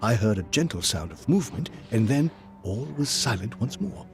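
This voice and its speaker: An actor playing an earnest, apprehensive, loyal gentleman companion and storyteller in an audio drama, with formal dramatic delivery. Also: lowish voice, sing-song, normal speed, faint music behind. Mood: suspenseful narration. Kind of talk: audio drama acting and first-person narration.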